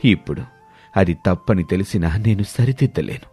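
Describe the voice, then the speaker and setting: A man voicing a humbled, gentle ageing village father who speaks softly and patiently in a moral story. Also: low voice, expressive, normal speed, soft background music. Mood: anxious, remorseful. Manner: soft, patient, pleading.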